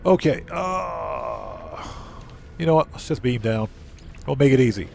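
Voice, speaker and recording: A man with a mid-pitched voice hosts a casual game stream. He talks to viewers and muses aloud in a halting, easygoing way. Game music plays faintly behind him. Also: sing-song, gravelly, darkish tone. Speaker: livestream host and gamer. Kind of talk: casual live game commentary, chatting with viewers.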